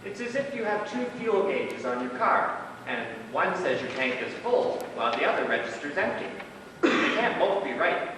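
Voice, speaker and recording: A man's voice: sits high, big pitch swings, normal speed. A man on stage playing an analytical police psychologist, lecturing with emphatic instruction. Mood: explanatory. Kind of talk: live amateur stage play acting. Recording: echoey hall from far off, noisy.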